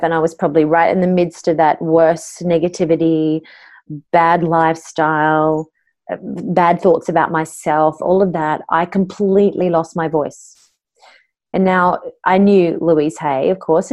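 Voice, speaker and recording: A middle-aged Australian woman speaks as an interview guest, with a voice sitting a bit low for a woman. She tells her story in an open, earnest way, as a voice coach talking about her work. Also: darkish tone.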